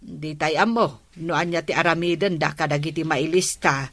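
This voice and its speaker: A woman's voice: low voice, moderate pace, fairly bright tone, dry recording. A woman with an Ilocano accent playing a patient, earnest village captain's wife in a radio comedy drama. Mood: patient, explaining.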